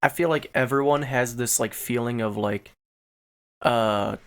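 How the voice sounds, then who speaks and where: A man's voice: medium pitch, unhurried, a bit bright, clear, resonant tone. A man chatting casually as a co-host on a podcast. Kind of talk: casual chat.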